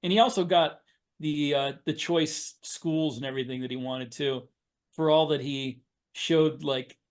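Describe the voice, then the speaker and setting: A middle-aged or older man with an American accent speaks in a somewhat high-pitched voice. He recounts memories casually as a podcast co-host, with a dry, joking streak. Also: steady tone, measured pace. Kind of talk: casual chat, recollections.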